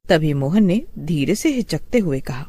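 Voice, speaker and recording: Mellow woman storyteller with lively intonation. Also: low-pitched, normal speed, dry recording. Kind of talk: scripted horror story narration.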